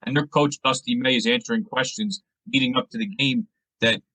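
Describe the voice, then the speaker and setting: A man's voice: mid-range voice, a little fast, sharp tone, breathy edge, no echo, rough recording. A man hosting a sports podcast, asking conversational interview questions with long, detailed lead-ins.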